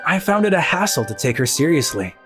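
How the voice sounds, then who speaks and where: A woman's voice: low-pitched, sing-song, quick pace. A woman voicing a cool-headed, easily exasperated teenage otaku boy who narrates his own story in a manga video. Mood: dry, weary. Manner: dry, exasperated. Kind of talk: scripted first-person anime narration and dialogue. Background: background music.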